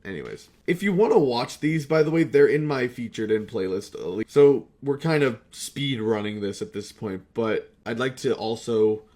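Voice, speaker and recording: A man's voice: medium pitch, expressive, normal speed, few pauses, warm tone. A young man talking casually in a rambling retrospective vlog, swearing freely and often putting himself down. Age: young adult. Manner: foul-mouthed, self-deprecating. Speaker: video creator.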